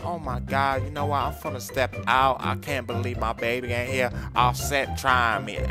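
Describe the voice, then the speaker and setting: A performer playing a flamboyant, indignant lover in a comedy skit, with sassy, drawn-out calling. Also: mid-range voice, steady tone, music in the background, natural voice. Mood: annoyed, indignant.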